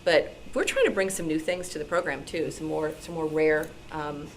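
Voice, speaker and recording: Female radio team panelist, slightly low voice, casual explanatory talk, noisy live room. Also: steady tone, moderate pace. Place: club meeting room.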